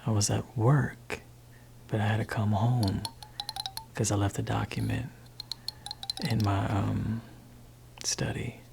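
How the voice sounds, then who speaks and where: A man voicing a gentle, laid-back father in an ASMR roleplay, talking softly and whispering to his teenage child in a teasing way. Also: flat delivery, slow pace, vocal fry, long pauses, over music. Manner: soft-spoken, shifting between low voice and whisper. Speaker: ASMR creator.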